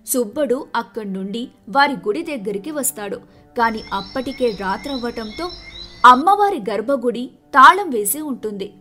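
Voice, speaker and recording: A woman's voice: a little high, bright, forceful tone. A woman narrating a scripted moral story as the storyteller.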